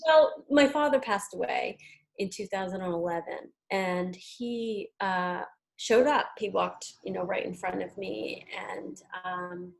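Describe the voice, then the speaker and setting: A woman from New York with an American accent, talking casually as an interview guest, chatty with hesitant pauses. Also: medium pitch, creaky, rough recording. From New York, United States. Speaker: interview guest, tarot deck creator and artist.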